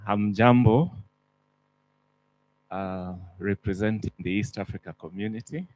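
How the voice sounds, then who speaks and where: A man delegate giving a hesitant, low-key introduction at a conference. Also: fairly even intonation, measured pace, darkish tone, rough recording.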